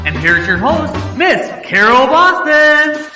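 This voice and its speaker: A man announcing a show intro with booming, drawn-out delivery. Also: sing-song, bright, forceful tone. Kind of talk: hyped show intro voice-over. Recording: far-off voice in a long reverb over music.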